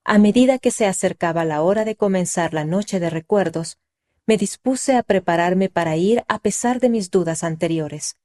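A woman reading a first-person story aloud in a calm, measured, reflective way. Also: on the low side. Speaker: reader. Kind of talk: scripted first-person narration.

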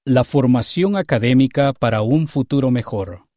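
A man announcing a segment introduction in a smooth, even scripted delivery. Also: medium pitch, normal speed, no echo, studio quality.

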